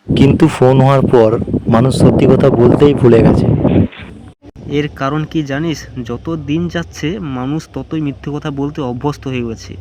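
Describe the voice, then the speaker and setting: A man's voice: mid-range voice, noisy background, lightly acted. A man voicing an idle, chatty young guy in a cartoon, talking casually as if with a friend. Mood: reflective. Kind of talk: cartoon dialogue, casual phone chat between friends.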